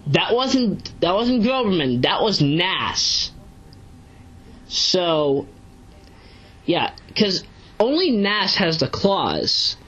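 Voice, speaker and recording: Lowish voiced woman doing a casual review, rambles and backtracks a lot, pitch jumps around, kinda muffled audio. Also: sing-song, fairly bright tone, breathy edge. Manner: rambling, halting, self-correcting. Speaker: online video reviewer and narrator. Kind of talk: casual unscripted commentary recapping a web series.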